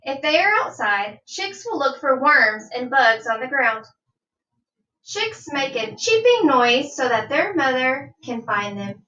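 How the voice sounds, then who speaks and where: Female primary teacher for children's read-aloud: bright, unhurried, instructive delivery, slightly distant from the mic. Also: sits high, sing-song. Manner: deliberate, instructive, asks listeners questions. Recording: dull, roomy sound, distant from mic.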